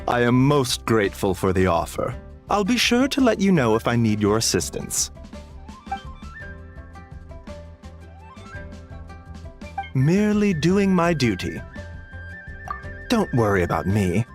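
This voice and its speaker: A courteous, lilting game doctor. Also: male voice, mid-range voice, huge pitch swings, soft background music. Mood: grateful, reassuring. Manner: polite, measured, formal. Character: gentle, courteous male doctor, composed and modest. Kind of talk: video game voice acting.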